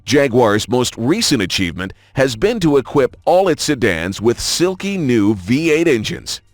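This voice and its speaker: A man narrating a scripted promotional documentary in a polished, measured announcer delivery. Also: medium pitch, very animated, no room sound. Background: music.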